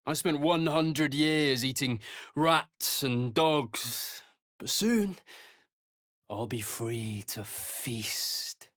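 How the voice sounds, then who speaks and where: A man's voice: medium pitch, very slow, a bit bright, no echo, studio quality. An actor playing an arrogant, sneering young male vampire spawn in a video game, haughty and taunting, with a drawling delivery. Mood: hungry, bitter. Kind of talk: dramatic video game character acting.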